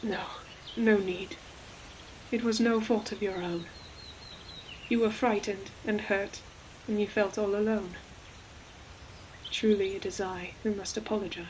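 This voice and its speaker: A woman plays an ancient forest demon who speaks slowly and solemnly, in a narrow, measured range. She is a tender, sorrowful protector in an audio roleplay, choosing each poetic phrase with care. Faint ambient sound sits behind the voice. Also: medium pitch, steady tone, darkish tone, slight background noise, lightly acted. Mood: tender, soothing. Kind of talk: scripted audio roleplay monologue, slow dramatic storytelling. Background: ambient environmental sound effects.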